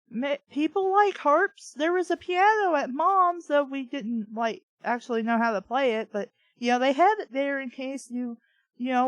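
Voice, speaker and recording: A woman's voice: high-pitched, no room sound, poor audio. A woman hosting a bad fiction show, reading a story aloud and breaking into blunt, sweary, exasperated commentary.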